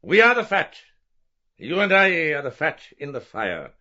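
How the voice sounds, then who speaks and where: A man reading an audiobook, voicing a brash, loud middle-aged newspaper editor. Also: fairly high pitch, huge pitch swings, measured pace, voice pushed up, full character voice. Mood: blustering. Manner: loud, blustering. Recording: dull lo-fi recording.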